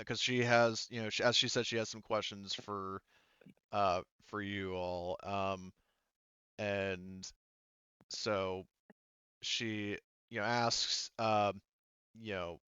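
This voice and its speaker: A man narrating casually and haltingly as game master of a tabletop roleplaying game, in an informal, improvised way. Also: fairly low pitch, steady tone, a bit bright, smooth, dry recording, lo-fi sound.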